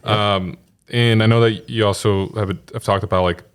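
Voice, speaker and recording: Deep, smooth-voiced male podcast host. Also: fairly even intonation, moderate pace. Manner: casual, with fillers like "like". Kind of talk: interview questions.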